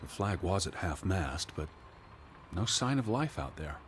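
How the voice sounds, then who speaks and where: A low-pitched, earnest gentleman of the 1920s. He is bookish and anxious, choosing his words formally and precisely. Steady noise sits behind his voice. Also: measured pace. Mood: subdued, doubtful. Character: weary, anxious, bookish middle-aged gentleman searching for his sister. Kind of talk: 1920s period drama video game acting.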